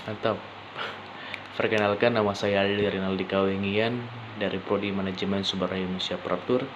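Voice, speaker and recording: A young man giving an informal student presentation, speaking haltingly with frequent pauses and rephrasing. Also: on the low side. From Indonesia. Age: young adult. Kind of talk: informal spoken presentation for a class assignment. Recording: dull lo-fi sound with clear background noise.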